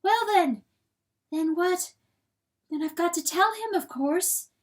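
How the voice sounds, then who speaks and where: A woman voicing a distressed, earnest and loyal hen wife in a storybook, with a pleading, halting manner. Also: high voice, animated, darkish tone, far from the mic, pitched above the performer's own voice. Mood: pained resolve.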